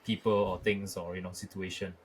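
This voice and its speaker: A man's voice: deep voice, flat delivery, a little fast, husky. A man with a Malaysian English accent, talking hesitantly on a podcast with many "you know" fillers. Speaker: podcast co-host. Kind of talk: casual chat.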